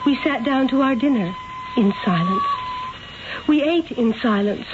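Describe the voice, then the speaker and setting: An anxious, gentle young noblewoman of a wife in a vintage radio play. Her voice is fairly high and swoops expressively, earnest and pleading, over a thin, hissy old broadcast. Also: animated, unhurried, phone-quality sound. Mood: troubled recounting. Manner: earnest, theatrical, formal diction. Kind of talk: old-time radio drama acting.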